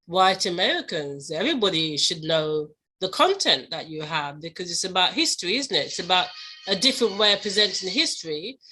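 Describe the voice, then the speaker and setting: A woman's voice: on the low side, big pitch swings, brisk, sharp tone, husky. A woman interviewer speaking in a conversational style, putting questions and comments to a guest.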